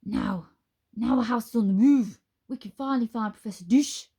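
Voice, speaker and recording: A woman voicing a grumpy male wooden spoon with a moustache, attempting a Scottish accent and talking gruffly and loudly. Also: a little high, normal speed, voice pushed up. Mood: urgent. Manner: shouting, gruff.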